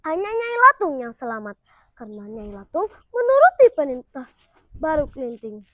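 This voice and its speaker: Girl of primary school age, very high voice, highly animated, theatrical folktale delivery, dry sound. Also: moderate pace, no echo. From Indonesia. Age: child, primary school pupil. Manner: dramatic, declamatory delivery. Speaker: student storyteller.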